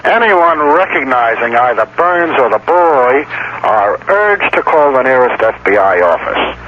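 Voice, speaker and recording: A man's voice: mid-range voice, sing-song, a little slow. An American man delivering a scripted radio news report as a reporter. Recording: dull, lightly noisy vintage radio broadcast.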